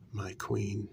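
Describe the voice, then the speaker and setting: A man performs a devoted, reasoning human consort of a vampire queen in an intimate ASMR role-play. His lowish voice is thick with gravelly creak and often drops to a breathy half-whisper. He takes his time, placing each phrase deliberately with lingering pauses. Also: noisy background, heavily acted.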